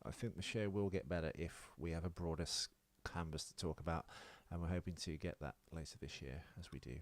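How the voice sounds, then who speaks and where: A man's voice: low-pitched, steady tone, a little fast, no echo. A British man co-hosting a podcast, chatting casually and unscripted in a rambling, hesitant, self-deprecating way with some swearing. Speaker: podcast co-host.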